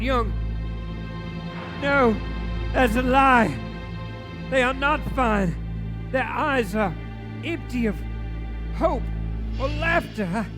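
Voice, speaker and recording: A man acts out an elderly miser on a live radio play stage. The voice is strikingly high and slow, breaking phrases for melodramatic effect, with clear music underneath.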